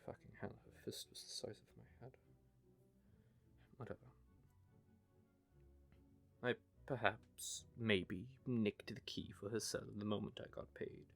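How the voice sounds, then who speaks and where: A man playing a young knave who tells his story in a wry, rambling way, with dark humour. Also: lowish voice, steady tone, a little slow, vocal fry, pauses often, faint music behind. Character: young male knave, wry, haunted by grief. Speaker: confessing rogue. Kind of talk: hobby audio drama monologue.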